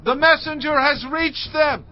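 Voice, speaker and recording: A man's voice: extremely high pitch, unhurried. A man voicing a lookout on a tower who calls out warnings. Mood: alarmed. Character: male tower lookout calling out alarm. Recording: dull lo-fi audio with music.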